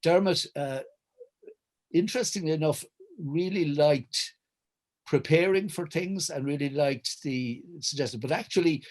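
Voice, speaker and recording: A man's voice: a little high, unhurried, darkish tone. A middle-aged Irish man reminiscing in conversation, articulate and wordy, often stammering and restarting as he searches for the exact phrase. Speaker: writer and director, panel guest. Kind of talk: reflective conversation recounting memories.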